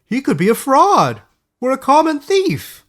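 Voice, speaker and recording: Man putting on a high, blustering, suspicious town leader. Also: huge pitch swings, unhurried, a bit bright, heavily acted. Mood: accusing. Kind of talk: fairy-tale audiobook character voice.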